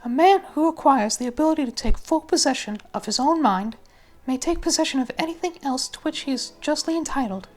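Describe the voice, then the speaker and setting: A woman's voice: sits high, lively intonation, a little fast. A woman voicing an arrogant, enigmatic wealthy businessman in a formal, suggestive manner, as in a dramatic audiobook reading. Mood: self-assured.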